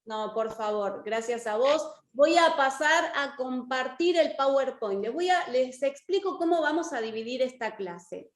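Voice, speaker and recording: An Argentine woman with a very high voice teaches an online class. She is encouraging and often checks in with short questions. Her voice rings in an echoey room. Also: lively intonation, unhurried, few pauses. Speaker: course teacher and lawyer. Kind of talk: interactive online lecture.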